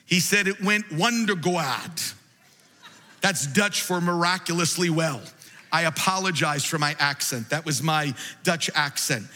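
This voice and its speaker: A man preaching a sermon in a large church, impassioned and building to shouts. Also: a little high, unhurried. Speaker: pastor. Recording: long reverb of a large hall.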